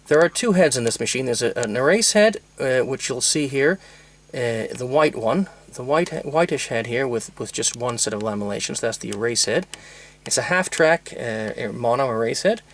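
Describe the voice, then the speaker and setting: A man with a mid-pitched voice presents a hands-on technical demonstration. He explains things methodically and without a script, in a halting, hesitant way, restarting phrases as he works through the details. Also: expressive. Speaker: presenter demonstrating vintage tape recorders.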